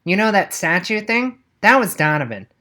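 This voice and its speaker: A woman's voice: mid-range voice, very animated, moderate pace, pushed and bright. A woman voicing a nonchalant, brainy schoolboy in a storybook, with a matter-of-fact manner.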